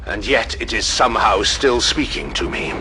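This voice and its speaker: A man's voice: lowish voice, expressive, moderate pace, pushed and bright, a little hiss or hum. A man playing a stern, commanding armoured warrior leader in an audio drama, curt and cold. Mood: cold, knowing.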